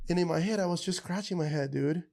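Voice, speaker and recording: A man from Ecuador, a podcast guest, telling stories in an animated, casual way, full of "bro" and "dude". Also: sits high, fast talker, gravelly. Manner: animated storyteller, says bro and dude a lot.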